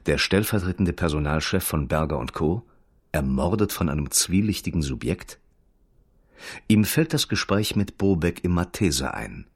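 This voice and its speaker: A man narrating a radio crime play as a detached storyteller, terse and dry, with a husky voice. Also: low-pitched, expressive, normal speed, heavily acted. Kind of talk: scripted radio drama narration.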